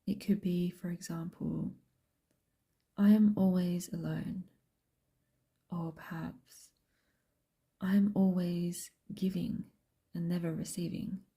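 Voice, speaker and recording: A woman guiding a meditation in a soft, breathy whisper, speaking gently and unhurriedly with long pauses. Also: lowish voice, steady tone. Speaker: meditation guide and host. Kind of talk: slow guided meditation, soft whispered speech.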